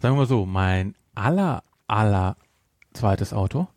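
A man's voice: fairly low pitch, lively intonation, measured pace, darkish tone, no room sound, studio quality. A middle-aged man chatting casually and jokingly as a podcast co-host, rambling as he goes. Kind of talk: casual unscripted podcast chat.

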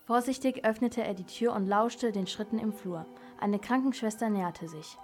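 A woman's voice: medium pitch, moderate pace, a little mellow, soft background music. Young female narrator of a crime play. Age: child or teenager.

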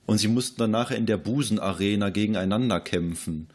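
Low, slightly husky podcast man. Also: fast, a little mellow. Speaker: podcast host. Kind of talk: casual film discussion.

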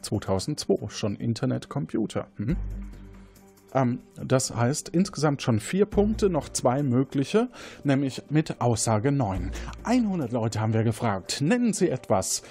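A man's voice: medium pitch, very animated, unhurried, mellow, faint music behind. A man hosting a quiz, moderating a game show and explaining questions and points.

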